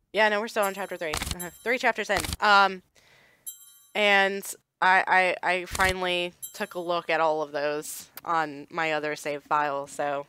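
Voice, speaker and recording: A woman's voice: sits low, a little hiss or hum. A woman with a Midwestern accent chatting loosely over a video game, full of fillers and restarts. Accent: Midwestern American. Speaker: gaming streamer. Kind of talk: casual gameplay commentary. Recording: loud game music behind.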